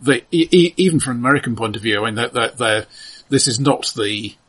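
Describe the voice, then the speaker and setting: A man's voice: medium pitch, lively intonation, brisk, a bit bright, slightly rough. A man co-hosting a casual film discussion, hesitant, often stammering as he starts a thought. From Europe. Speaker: podcast co-host.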